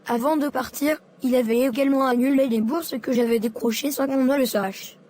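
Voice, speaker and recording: A woman voicing a hurt, dutiful schoolboy in an animated drama, subdued and earnest. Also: high voice, brisk. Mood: sad, confessional. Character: hurt, dutiful schoolboy son named Karl. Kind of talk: dramatic dialogue and confession in an animated short. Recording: music behind.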